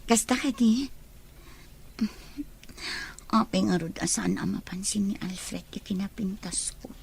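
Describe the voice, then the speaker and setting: A woman's voice: medium pitch, unhurried, gravelly, a little mellow, slightly rough, full character voice. A woman playing a hospitable, easygoing young friend in an Ilocano audio drama. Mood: hospitable, casual.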